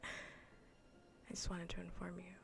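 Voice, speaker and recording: Female ASMR streamer: breathy close-mic whisper, lowish register, unhurried delivery, playful teasing tone. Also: completely flat delivery, music in the background. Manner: teasing, playful, mock-scolding. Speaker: livestream host. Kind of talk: soft whispered ASMR gaming stream chat. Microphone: close ASMR microphone.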